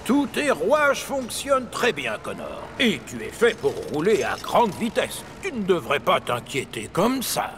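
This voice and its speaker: A man's voice: high voice, huge pitch swings, a little slow, few pauses, a bit bright, a little hiss or hum, heavily acted. An actor voicing a patient, reassuring male engine from a repair shop in a French children's cartoon dub.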